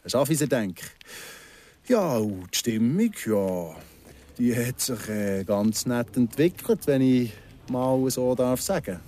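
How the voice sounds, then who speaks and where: A man's voice: mid-range voice, animated, takes it slowly, dark tone. An actor playing an insinuating paroled convict in his thirties in a radio drama, speaking Swiss German with a probing, mock-understanding manner. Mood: insinuating, deliberate. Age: 30s. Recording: music behind the voice.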